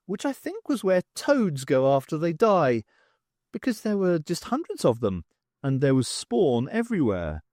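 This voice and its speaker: Man playing a high-pitched, fussy male artist. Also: a little mellow, dry recording, clean studio sound. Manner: rambling, airy, hesitant. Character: fussy, wondering male artist, blustering and apologetic.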